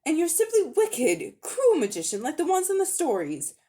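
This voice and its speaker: A woman voicing a brave, hot-tempered schoolboy in a dramatic storybook reading, speaking bluntly and forcefully. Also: very high-pitched, wildly swooping pitch, moderate pace, pitched above the performer's own voice, full character voice. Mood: defiant. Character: indignant, brave, outspoken English schoolboy.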